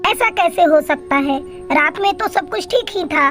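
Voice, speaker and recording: Very high-voiced young wife bird played by a woman. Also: animated, normal speed, fairly bright tone. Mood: brisk, puzzled. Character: young wife sparrow, dutiful, polite, hardworking. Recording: faint music behind.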